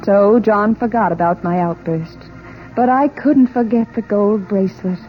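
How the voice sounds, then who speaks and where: A woman acting a yearning housewife in an old radio drama, intense and theatrical, with deliberate dramatic pauses, on an old recording. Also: medium pitch, dull audio, over music, lightly acted. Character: yearning, long-deprived housewife fixed on a bracelet. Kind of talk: 1940s radio acting, dramatic first-person narration.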